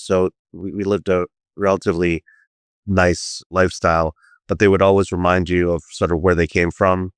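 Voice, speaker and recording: Deep, smooth-voiced male interview guest. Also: no echo, studio quality. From United States. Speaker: guest, firm co-founder. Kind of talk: interview answers.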